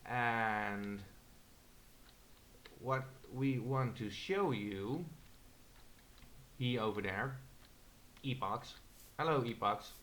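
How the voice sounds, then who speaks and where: A man's voice: mid-range voice, drawn-out words, long pauses, a bit bright, slight background noise. A young Dutch man with an accent, hesitantly narrating a tour of his game server with many fillers. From Netherlands. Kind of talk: casual screen-recorded walkthrough.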